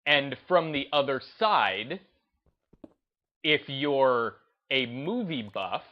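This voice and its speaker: Male video host, unhurried and halting, rambling off-the-cuff commentary, slightly dull lo-fi mic. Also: mid-range voice, animated. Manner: hesitant, rambling, repeats and restarts phrases. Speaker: host of a gaming video channel. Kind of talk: unscripted opinion commentary.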